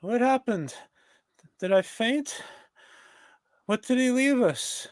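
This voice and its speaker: Man plays hopeful cartoon little boy, wide-eyed and gullible, high voice, unhurried pace. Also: expressive, no room sound, full character voice. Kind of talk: live comedic table read of a cartoon.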